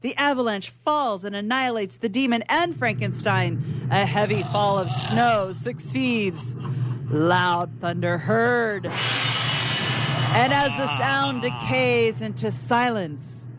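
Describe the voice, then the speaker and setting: A woman narrating stage directions for a radio play in a deliberate, dramatic scripted style. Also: fairly high pitch, background noise.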